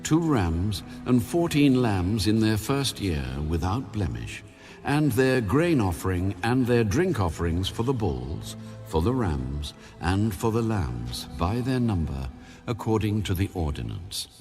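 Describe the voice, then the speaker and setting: A man giving a measured, formal and solemn scripted narration of scripture. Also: on the low side, sing-song, a little mellow. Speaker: audio Bible narrator. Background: music.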